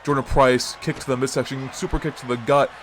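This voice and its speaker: A man with a stuffy nose and sore throat calling a wrestling match as host, excitable and jumping to shouts on big moves. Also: mid-range voice, fairly quick, a little hiss or hum. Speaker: wrestling commentator and show host. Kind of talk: play-by-play commentary.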